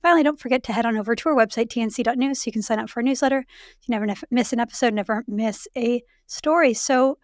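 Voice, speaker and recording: A woman in her thirties hosting a casual podcast chat, her voice raspy and hoarse from late pregnancy, talking in a chatty way with many fillers. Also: on the high side, fast, no echo, clean studio sound. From Canada. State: heavily pregnant, voice going hoarse. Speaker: podcast host.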